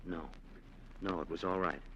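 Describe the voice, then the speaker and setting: An actor playing a scheming nephew plotting murder in 1940s radio-drama style, clipped and coldly insistent. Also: male voice, low-pitched, steady tone, moderate pace, heavy background noise, natural voice. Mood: tense.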